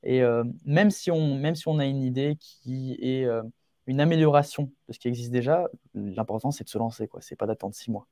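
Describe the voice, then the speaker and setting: Mellow-voiced eighteen-year-old man, dry sound. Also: mid-range voice, normal speed, no echo. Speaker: young entrepreneur, podcast guest. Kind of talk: conversational interview answers, giving advice.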